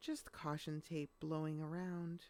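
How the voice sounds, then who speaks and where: A woman reading a rhyming children's picture book aloud in an expressive storytelling style. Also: low voice, a little slow.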